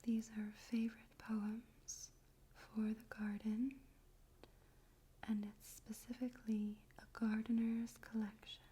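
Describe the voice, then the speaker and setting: A woman speaking in a soft, breathy whisper, gently and carefully, in an ASMR style for poetry reading. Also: on the high side, flat delivery, takes it slowly. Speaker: ASMR host and poetry reader. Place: room in a home in daytime.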